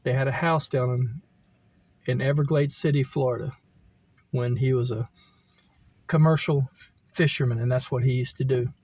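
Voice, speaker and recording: A middle-aged American man reminiscing casually, in a halting, meandering way as he thinks aloud. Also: mid-range voice, steady tone, a little mellow, husky, no echo. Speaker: vlogger telling personal stories. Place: camper van.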